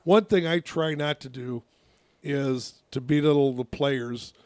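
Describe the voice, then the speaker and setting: A man, a youth softball coach, telling a long story on a casual radio talk show, with a naturally loud voice and many restarts. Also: mid-range voice, measured pace, no echo.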